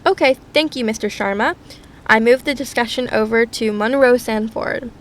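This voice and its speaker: An actress playing a composed debate moderator in an audio drama, speaking formally and keeping things orderly. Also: female voice, fairly high pitch, normal speed, natural voice. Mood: composed, firm.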